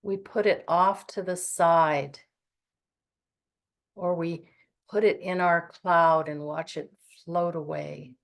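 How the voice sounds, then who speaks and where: A woman leads a guided meditation in a soothing, gentle voice that sits on the low side. She speaks very slowly, letting long pauses settle between her phrases. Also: steady tone, creaky.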